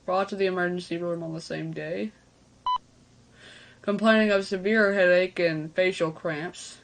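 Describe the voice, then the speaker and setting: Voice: medium pitch, steady tone, unhurried, gravelly, background noise. A reaction video host reading on-screen text aloud and breaking into casual, speculative asides. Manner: casual asides between read-out passages.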